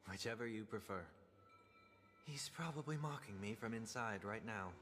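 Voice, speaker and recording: A man playing a soft-spoken, formal and solemn young gentleman in a video game, speaking in a measured way. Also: on the low side, background noise, close to an everyday voice. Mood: composed, polite. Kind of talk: dramatic video game dialogue acting.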